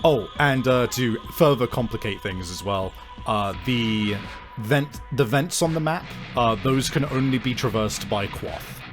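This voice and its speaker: A man's voice: mid-range voice, a little slow, over music. A man acting as game master, narrating a tabletop role-playing game with vivid, dramatic description and rules talk.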